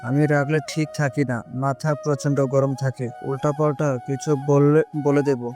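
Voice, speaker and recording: A man's voice: mid-range voice, flat delivery, normal speed, natural voice. A man playing an intense, hot-tempered young man in love in a romantic audio drama. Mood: annoyed, intense. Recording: music bed under the voice.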